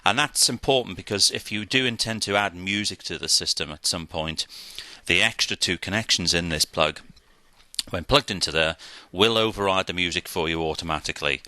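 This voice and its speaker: A man's voice: low voice, pushed and bright, dry recording. A man giving a methodical, step-by-step instructional equipment demonstration. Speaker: product demonstrator.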